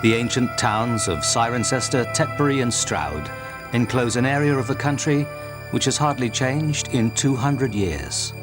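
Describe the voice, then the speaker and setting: Man narrating a documentary with lively pitch. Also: unhurried, music in the background.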